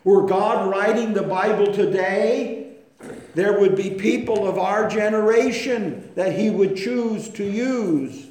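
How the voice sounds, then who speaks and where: A man preaching a sermon, swinging between hushed storytelling and loud, impassioned emphasis. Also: sits high, slow pace. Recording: echoey room with faint noise.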